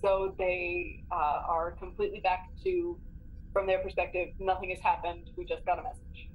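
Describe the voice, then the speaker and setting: A woman's voice: on the low side, flat delivery, normal speed. A woman talking casually as a player in a tabletop game, planning moves aloud. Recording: dull lo-fi mic, background noise.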